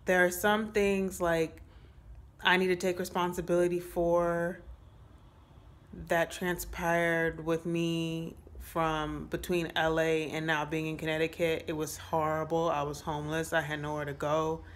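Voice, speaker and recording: A woman in her late twenties talking to her phone camera in a small room, giving a casual, rambling update with many pauses and fillers. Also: sits low, flat delivery. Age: 20s. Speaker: vlogger. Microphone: phone held close at arm's length.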